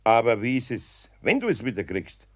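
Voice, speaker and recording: An actor playing a patient older master carpenter in a children's radio play, calm, firm and dryly teasing. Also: male voice, mid-range voice, moderate pace.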